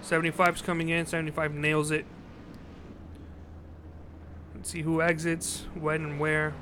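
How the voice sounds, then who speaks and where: A man doing live play-by-play commentary on a sim truck race, often halting and correcting himself, apologizing for his stutter and hiccups. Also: a little high, monotone. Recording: loud engine noise behind.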